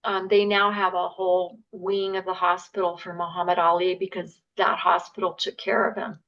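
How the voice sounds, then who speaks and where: A woman telling a long story in casual chat as a podcast guest, animated, with filler words. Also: fairly low pitch, moderate pace. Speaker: patient's wife, podcast guest. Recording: muffled lo-fi podcast audio.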